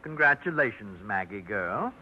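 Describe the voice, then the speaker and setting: Fussy, hesitant librarian. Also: male voice, on the low side, very animated, moderate pace. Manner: hesitant, hemming and hawing. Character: fussy, timid small-town library head. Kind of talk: 1950s radio drama acting. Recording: lo-fi 1950s radio broadcast, faint hiss.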